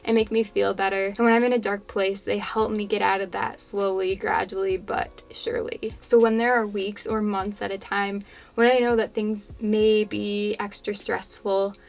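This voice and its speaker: A young woman with a congested, fading voice, chatting in a rambling, low-key way as she narrates her painting video. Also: little pitch movement, moderate pace, vocal fry. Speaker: craft YouTuber. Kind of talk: casual voiceover chat over a painting demo.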